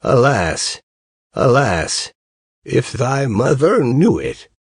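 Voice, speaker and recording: A man reading a fairy tale as a storyteller, in a measured, deliberate, old-fashioned storybook delivery. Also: medium pitch, very animated, breathy edge, no room sound, studio quality. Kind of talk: slow scripted audiobook narration of a fairy tale.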